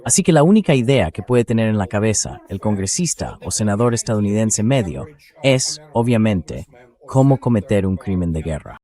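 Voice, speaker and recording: Ai dub voice of a guy, kinda low, blunt and dismissive, talking war and politics like a pundit. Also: very animated, moderate pace, warm tone. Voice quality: synthetic AI dubbing voice. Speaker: interview guest, military commentator. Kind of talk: political and military commentary in interview, read as translated voice-over.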